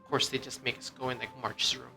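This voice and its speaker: A man's voice: medium pitch, level tone, fast, vocal fry, sharp tone, soft background music. A man giving casual, rambling game commentary as a streamer, with lots of "like" and some casual swearing.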